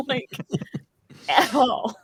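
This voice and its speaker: A woman chatting casually as a podcast guest, telling things in an expressive, conversational way. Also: high voice, drawn-out words, slightly rough, no echo. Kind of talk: casual chat.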